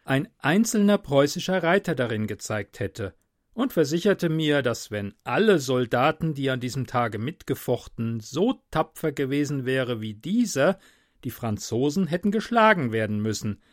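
Man with a faint Palatine accent, mid-pitched, casual podcast host who reads with dramatic emphasis on a dry close mic. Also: animated, moderate pace, no room sound. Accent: Palatine German, softened by speech training. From Mannheim area, Germany. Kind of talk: casual podcast intro, then literary reading.

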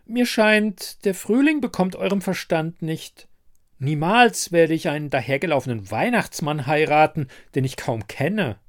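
Storybook ice queen voiced by a man: high, loud, imperious, full of contempt and scolding. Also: expressive, a little slow. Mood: haughty disdain. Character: haughty, scornful icy queen of winter.

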